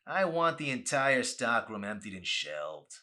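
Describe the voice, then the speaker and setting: A man's voice: mid-range voice, very animated, measured pace, bright, forceful tone, voice pushed up. A man voicing a mean, bossy shop manager in an audiobook, demanding and overbearing. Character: mean, bossy ice cream parlor boss.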